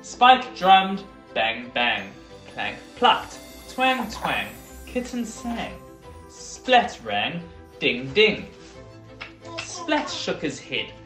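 Slow, animated storybook reader in a roomy space. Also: mid-range voice, soft background music. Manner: animated, deliberate, emphatic on dialogue and sound words. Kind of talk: expressive children's storybook reading. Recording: roomy echo.

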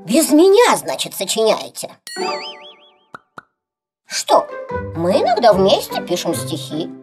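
A proud, spirited elderly cartoon teacher, speaks briskly and emphatically. The voice swings lively up and down over background music. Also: female voice, medium pitch. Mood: stern. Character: strict, proud, spirited elderly female schoolteacher. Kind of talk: animated children's cartoon acting. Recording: music under the voice.

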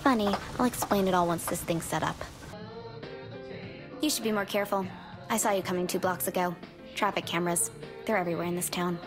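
A woman playing a paranoid, deadpan young computer hacker in a video game, talking in a dry, matter-of-fact way. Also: lowish voice, brisk, long pauses, lightly acted. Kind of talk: video game cutscene acting. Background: background music.

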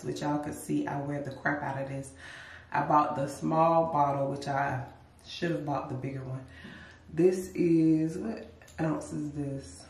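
A woman, chatting casually to camera at home in a vlog. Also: low-pitched. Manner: relaxed, chatty, addresses viewers as y'all. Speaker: lifestyle vlogger. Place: bedroom at home, later a car. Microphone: camera a few feet away. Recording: roomy echo, distant from the mic.

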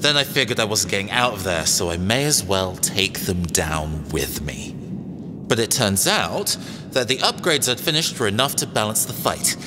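A man's voice: fairly low pitch, very animated, moderate pace, long reverb tail, background noise. A man playing a cagey, self-assured robot engineer mercenary in an audio drama, wry, conversational and hesitant. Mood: wry, recounting.

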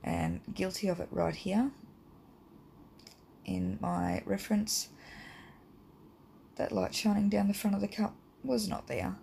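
Croaky woman teaching drawing, rambling. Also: medium pitch, takes it slowly, long pauses, slight background noise. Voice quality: croaky, gravelly. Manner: relaxed and rambling, many ums. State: croaky, hay fever. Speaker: art teacher. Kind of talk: casual drawing tutorial voice-over.

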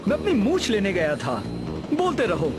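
An actor voicing a bumbling, self-important mustached detective in a Hindi cartoon dub, pompous and theatrical. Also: male voice, high-pitched, wildly swooping pitch, normal speed, over loud music.

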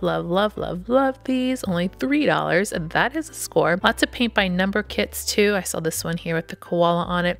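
A woman's voice: on the low side, creaky. A woman narrating a shop-with-me vlog, upbeat and gushing over the items she shows. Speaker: shopping vlogger.

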